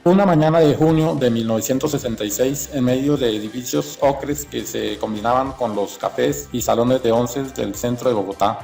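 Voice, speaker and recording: Creaky-voiced man narrating, music behind. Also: mid-range voice, darkish tone. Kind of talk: scripted documentary narration.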